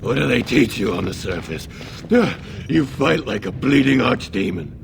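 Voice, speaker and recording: Gravelly, animated dwarf thug. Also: male voice, mid-range voice, normal speed, background noise. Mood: panicked. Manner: rough, blustering. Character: brash, cowardly male dwarf thug. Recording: game music behind the voice.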